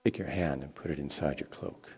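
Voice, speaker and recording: A man voicing a solemn, commanding male God who speaks with deliberate authority in a dramatic scripture reading. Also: a bass voice, a little mellow, husky, pitched below the performer's own voice, exaggerated voice.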